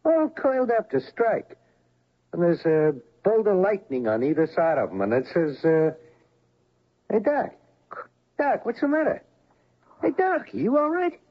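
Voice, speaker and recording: Streetwise morgue worker: blunt, scornful male, higher-pitched and very animated, muffled vintage radio drama sound. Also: unhurried, long pauses, full character voice. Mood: excited. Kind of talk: old-time radio drama acting. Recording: dull lo-fi old radio recording.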